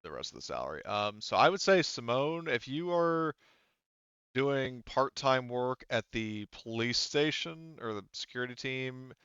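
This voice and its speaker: A man acting as game master in casual, improvised talk, halting as he thinks aloud. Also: mid-range voice, sing-song, a bit bright, clean tone, dry recording, muffled recording. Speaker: game master of a tabletop roleplaying game.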